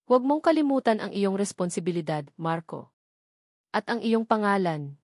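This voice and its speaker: A woman's voice: mid-range voice, lively intonation, normal speed, darkish tone, dry recording, close to an everyday voice. Woman doing stern older rich dad from a love story, menacing n controlling, dry close sound. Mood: suspicious, threatening. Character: stern, threatening wealthy father, older man.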